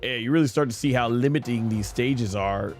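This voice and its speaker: A man's voice: mid-range voice, brisk, a little mellow, faint music behind. A man giving casual, rambling live-stream gaming commentary, thinking aloud as he goes. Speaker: live streamer and amateur voice actor.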